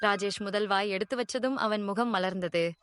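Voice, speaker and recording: A woman narrating a cartoon moral story in a clear, expressive way. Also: medium pitch, normal speed, background noise. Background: music.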